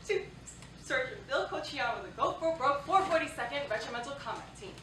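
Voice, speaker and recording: A high, ringing young woman's voice from a stage actress, playing a cheerful leader of wartime pen-pal girls. She projects brightly in a staged reading, heard from a distance with faint noise in the room. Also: lively intonation, fairly quick. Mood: cheerful, playful. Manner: upbeat, projected stage delivery. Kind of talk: staged reading of a historical play. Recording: echoey stage, distant mic, background noise.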